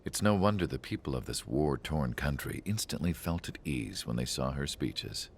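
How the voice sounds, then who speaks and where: A man playing a solemn scientist who narrates a video game story in a measured, reflective way. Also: very deep voice, animated, gravelly, slight background noise, full character voice. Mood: wistful. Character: solemn older male research scientist recalling an ace pilot. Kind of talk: scripted dramatic cutscene narration.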